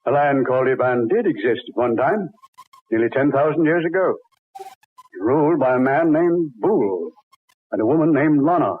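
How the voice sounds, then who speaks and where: Scholarly middle-aged father, theatrical 1940s radio style, husky voice, deliberate formal phrasing, tinny sound. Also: medium pitch, expressive. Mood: solemn, explanatory. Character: learned older father, film man fascinated by ancient legend. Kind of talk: 1940s radio drama acting. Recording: thin, tinny vintage radio recording.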